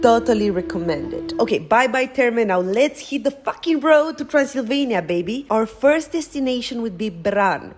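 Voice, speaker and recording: A woman's voice: mid-range voice, a little mellow. A young woman from Romania, narrating a travel vlog in a bubbly, slangy voice-over that swears casually and gushes about everything. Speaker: travel vlogger.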